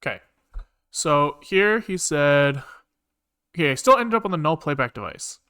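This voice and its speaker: A man's voice: a little high, sing-song, normal speed, bright, forceful tone, clear, resonant tone, dry recording. Man muttering through code, dry close sound. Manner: muttering, casually sweary, reading code aloud in fragments. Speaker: programming livestreamer. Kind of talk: thinking aloud while coding on a livestream.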